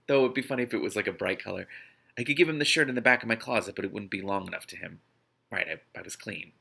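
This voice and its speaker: A man's voice: on the low side, vocal fry, sharp tone, slightly rough. A man reading a novel aloud expressively, breaking off into spontaneous amused and exasperated asides as host. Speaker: host of an online read-aloud series.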